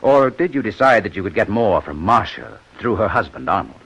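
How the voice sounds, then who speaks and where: An actor playing a sharp, methodical police inspector in 1950s radio detective style, commanding and accusatory. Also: male voice, on the low side, big pitch swings, brisk, husky, lo-fi sound, full character voice. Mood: accusing. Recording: old-time radio broadcast recording.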